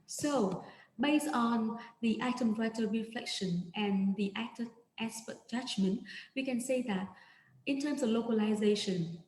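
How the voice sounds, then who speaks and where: A woman's voice: a little high, unhurried, darkish tone. A woman from Vietnam, a native Vietnamese speaker, giving an academic conference presentation over slides on a video call, talking hesitantly with many fillers and restarts. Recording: echoey room, faint ambient noise.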